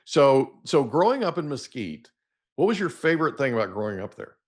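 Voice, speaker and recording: A middle-aged American man speaks as a podcast host at a medium pitch. His delivery is informal and folksy, rambling easily from questions into anecdotes in casual conversational language. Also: big pitch swings, a little fast. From Oklahoma, United States. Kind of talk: casual interview chat.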